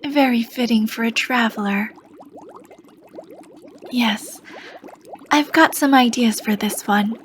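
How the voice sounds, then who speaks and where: A woman playing a charming, sly potion shopkeeper, talking slowly and softly with a teasing edge and dipping into whispers. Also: on the high side, slight background noise. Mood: curious. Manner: slow, soft-spoken, teasing, drifting into whispers. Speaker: ASMR roleplay performer. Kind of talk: ASMR roleplay.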